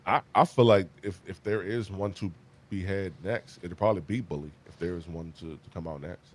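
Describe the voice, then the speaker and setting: A man in his thirties hosting a casual gaming chat, animated and emphatic, often restarting his sentences. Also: low-pitched, a little fast. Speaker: podcast host.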